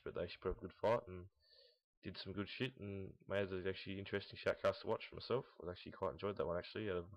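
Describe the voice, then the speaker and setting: A young man giving casual, unscripted esports commentary in a rambling, halting, blunt way, recorded in lo-fi sound. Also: sits low. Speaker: host of a gaming team audio log. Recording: dull lo-fi, roomy echo, mouse clicks.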